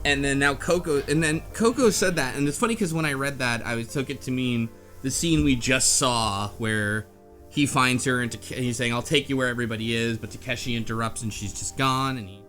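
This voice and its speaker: A man's voice: mid-range voice, sharp tone. A man with a mid-range voice chats casually as a podcast co-host. He rambles and halts, working out his thoughts aloud, while music plays behind him. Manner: halting, rambling, thinking aloud. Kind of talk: casual book club discussion of a game.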